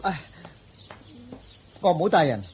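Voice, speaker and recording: An actor playing a poor, timid, henpecked husband in a radio drama, pleading and stammering in a Hong Kong Cantonese accent. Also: male voice, a little high, very animated, slow, darkish tone, husky, exaggerated voice. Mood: meek, resigned.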